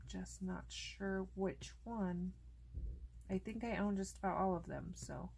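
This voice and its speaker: A woman host casually narrating a coloring tutorial, chatty and relaxed, thinking aloud as she works, and losing her voice. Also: medium pitch, takes it slowly, creaky, husky, rough recording.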